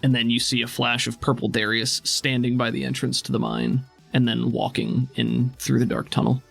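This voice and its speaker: A man's voice: medium pitch, little pitch movement, moderate pace, gravelly, slightly rough. A man narrating a tabletop game in a conversational way as game master. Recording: faint music under the voice. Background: soft background music.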